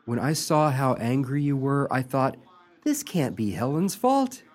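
An actor playing a supportive, lovestruck male friend, earnest and gently persistent, in a radio play. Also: medium pitch, very animated, a little slow.

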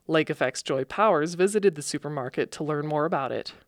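A woman hosting a public radio program, reading scripted narration in a measured way. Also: low voice, a little hiss or hum. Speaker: radio host. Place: radio studio.

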